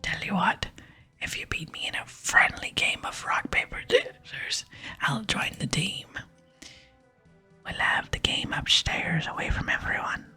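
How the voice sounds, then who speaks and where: A woman's voice: on the low side, huge pitch swings, unhurried, pushed and bright, slightly rough, soft background music, exaggerated voice. A woman voicing a cocky, beer-loving male explosives expert with a casual, sly manner in an ASMR game reading.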